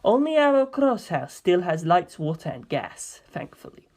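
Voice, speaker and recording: A woman voicing a composed, dryly evasive male mafia member in a measured, matter-of-fact way for a story reading. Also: lowish voice, very animated, darkish tone.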